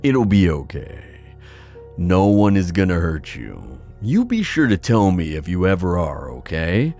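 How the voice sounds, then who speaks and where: A man playing a proud, protective sea god and father in a fantasy ASMR roleplay, musing haltingly with sighs. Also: sits low, sing-song, a little mellow, faint music behind, exaggerated voice. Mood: gentle, reassuring.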